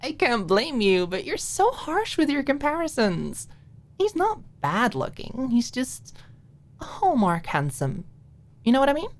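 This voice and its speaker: An animated young woman in an audiobook romance , played by a female narrator, pitched up above the reader's usual voice. She is giggly and eager, teasing as she riffs like someone giving a playful pitch. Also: unhurried.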